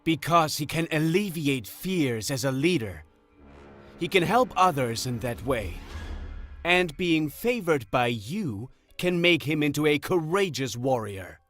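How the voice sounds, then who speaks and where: A man playing a sly, persuasive god of deception in a fantasy audio drama, talking in a deliberate, theatrical way. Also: a little high, very animated, a bit bright. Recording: music under the voice.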